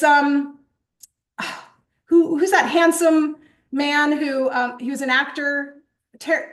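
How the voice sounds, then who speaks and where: A high-voiced woman talks through her course with bubbly excitement. She rambles, doubles back on her words and fills pauses with ums. Her delivery swings between breathless speed and slow, dreamy emphasis. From born in Japan, grew up in England. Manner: animated, rambling storytelling with frequent fillers and restarts. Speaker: host and coach presenting a certification course. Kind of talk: enthusiastic webinar presentation.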